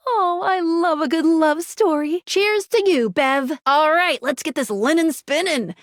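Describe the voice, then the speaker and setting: High, bubbly, theatrical game guide. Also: female voice, unhurried, no room sound, clean studio sound. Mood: eager, cheerful. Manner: theatrical, playful, expressive delivery. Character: bubbly, nervous, playful young woman game guide character. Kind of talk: animated video game voice acting.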